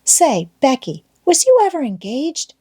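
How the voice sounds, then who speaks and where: A woman's voice: high-pitched, big pitch swings, unhurried, warm tone, voice pushed up. A woman voicing an eager, boastful young schoolboy in love in an audiobook, with folksy old-fashioned rural American wording and a wheedling manner. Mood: coaxing. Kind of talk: audiobook character voice.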